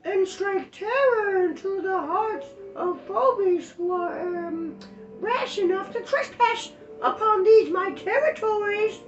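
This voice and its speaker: A woman's voice: very high-pitched, slow pace, soft background music. A woman voicing a pompous male squirrel king who is giving a grand speech. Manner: grandiose, declaiming. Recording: echoey room, dull lo-fi sound.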